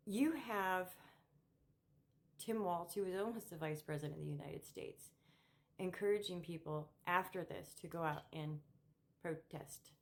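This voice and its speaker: A middle-aged woman with a low voice speaks off the cuff to her viewers. She is opinionated and emphatic, and her delivery halts and repeats words as she builds each point. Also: a little slow, creaky. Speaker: political commentary vlogger. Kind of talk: unscripted opinion monologue to camera.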